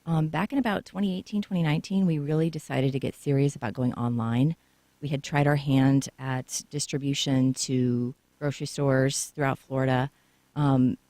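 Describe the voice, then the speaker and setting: A woman's voice: rumbling low, dark tone, no echo. A woman sits in a radio studio explaining her business with long, flowing answers. Her voice is captured close and bone-dry, with no room sound at all. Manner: talkative, lengthy storytelling answers. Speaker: co-founder guest.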